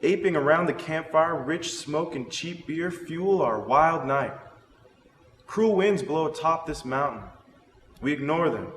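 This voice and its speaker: Guy doing a dramatic poem reading, very measured, big reverby room w/ a bit of hiss. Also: a little high, expressive, fairly bright tone. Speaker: drama student reader. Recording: echoey reverberant room with background noise.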